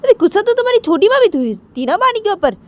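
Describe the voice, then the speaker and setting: A woman's voice: very high voice, sing-song, fast talker, darkish tone, voice pushed up. A woman voicing an angry, scolding grandmother in a story, pressing insistent, repetitive questions. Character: scolding, angry grandmother berating her daughter-in-law.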